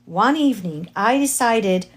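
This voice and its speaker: A woman with a Romanian accent, not a native English speaker, reading her spiritual memoir aloud slowly and carefully, with occasional self-corrections. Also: on the high side, expressive, husky. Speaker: author reading her book.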